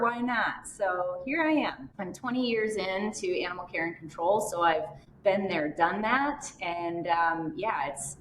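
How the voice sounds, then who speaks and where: A woman's voice: medium pitch, slight background noise. A middle-aged woman, a shelter director being interviewed, speaking matter-of-factly with dry humor. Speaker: animal shelter director, guest. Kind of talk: casual interview talk. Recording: roomy, distant from the mic.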